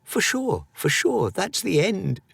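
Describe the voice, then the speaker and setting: A man's voice: high voice, huge pitch swings, slow pace, gravelly, a bit bright, slightly rough, no echo, voice pushed up, exaggerated voice. A man voicing a small, cheeky, wide-eyed boy in a storybook, exclaiming with childlike wonder and bravado. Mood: panicked.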